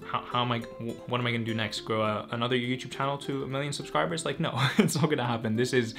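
A man's voice: medium pitch, over music. A man in his twenties, a medical student answering viewer questions in a casual vlog at home. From Greece. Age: 20s. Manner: quick, energetic, reads out viewer questions. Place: home room or desk setup. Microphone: camera facing him at close range.

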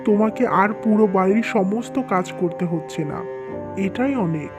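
A woman's voice: mid-range voice, moderate pace, over music, close to an everyday voice. A woman voicing an earnest, worried young husband and dutiful son in a scripted cartoon, speaking plainly. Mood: resolved.

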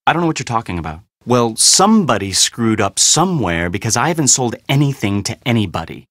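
An actor voicing a guarded, weary young heir in a video game, with a casual, sarcastic, slightly awkward manner. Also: male voice, medium pitch, sing-song, a little fast, fairly bright tone, no room sound, clean studio sound. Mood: defensive, irritated. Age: young adult. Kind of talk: video game dialogue acting.

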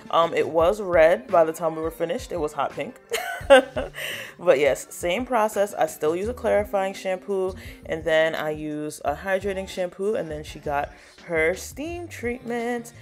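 A woman's voice: lowish voice, lively intonation, unhurried, soft background music. A woman hairstylist narrating her salon vlog in a casual, chatty way, walking viewers through her steps. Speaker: natural hairstylist.

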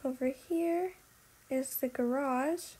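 A woman's voice: high-pitched, slow pace, mellow, low-quality recording. A young woman giving a casual, chatty voice-over tour of a video game house, giggly and apologetic in manner.

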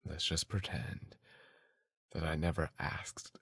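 A man acting a gruff, guarded young bully softening toward his sweetheart, in an intimate, halting ASMR roleplay, often near a whisper. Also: extremely low pitch, full character voice. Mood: reluctant, apologetic. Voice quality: husky, gravelly, breathy voice.

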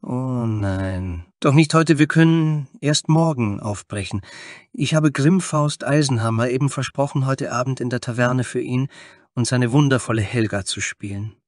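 A man's voice: mid-range voice, moderate pace, dry recording, studio quality, voice pushed up, natural voice. A man voicing a flamboyant, charming tiefling bard with a florid, theatrical manner in a fantasy story. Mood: shocked protest. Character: flamboyant, smooth-talking male tiefling bard. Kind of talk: fantasy audio story character.

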